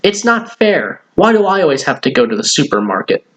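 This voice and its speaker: Man putting on a whiny, high-pitched schoolboy. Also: very animated, fairly quick, fairly bright tone. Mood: whiny protest. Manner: pleading, protesting, wheedling. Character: whiny, sulky, pleading schoolboy.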